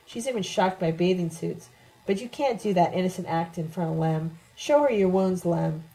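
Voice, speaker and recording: A woman voicing a drunk, bitter older woman in an audiobook, taunting and loudly accusing. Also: lowish voice, lively intonation, normal speed, vocal fry, poor audio. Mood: hateful. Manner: shouting, sneering, accusatory.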